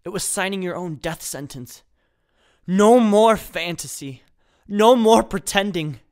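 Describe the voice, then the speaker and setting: An actor playing a traumatized, bitter survivor of a hostage ordeal, narrating intensely in the first person in an audio drama. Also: male voice, sits high, a little slow, a little mellow, clean tone. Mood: haunted, intense.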